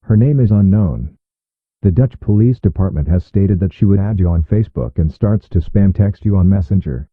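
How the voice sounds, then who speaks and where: A man's voice: deep voice, animated, normal speed, slightly rough, no room sound. A man reading a scripted emergency alert in a formal, stern manner, as an announcer. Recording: muffled phone-like audio with music behind.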